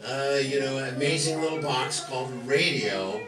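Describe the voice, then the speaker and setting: A man's voice: medium pitch, normal speed. A man announcing a live show as master of ceremonies, jovial and joking. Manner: joking, chuckling. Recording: roomy distant stage recording, music behind.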